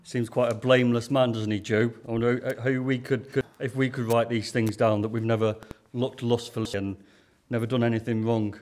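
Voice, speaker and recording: A man beginning a sermon in a casual, halting way, repeating words as he goes. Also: mid-range voice, little pitch movement, darkish tone. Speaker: preacher.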